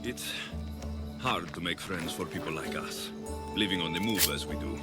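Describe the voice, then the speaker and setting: An actor playing a confident, warm young Greek mercenary hero in a video game, with a Greek accent. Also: male voice, low-pitched, moderate pace, lightly acted. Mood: relaxed, friendly. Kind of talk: video game cutscene acting. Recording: music behind.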